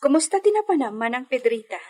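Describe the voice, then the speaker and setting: A woman's voice: sits high, big pitch swings, fast talker, a little mellow, faint music behind. A woman playing an inquisitive young lady of the house in a radio drama. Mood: probing, assured. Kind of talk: Ilocano radio drama acting.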